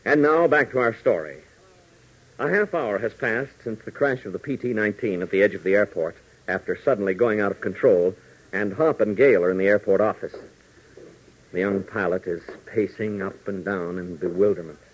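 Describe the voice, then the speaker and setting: A man's voice: on the low side, normal speed, slightly rough. An American man narrating and announcing in a dramatic 1940s radio style. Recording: muffled old 1940s radio recording.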